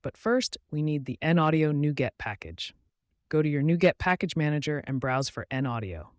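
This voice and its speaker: A man with a slightly high voice delivers scripted tutorial narration in a measured, instructional manner. The sound is dry and close, as a voice-over recorded right on the mic. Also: animated, dry recording. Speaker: tutorial host.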